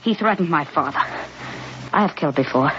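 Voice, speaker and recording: A woman's voice: low-pitched, fairly quick. An actress playing a cold, polite twelve-year-old boy who throws knives, in 1950s radio drama acting. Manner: flat, polite, menacing. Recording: dull old radio broadcast with hiss.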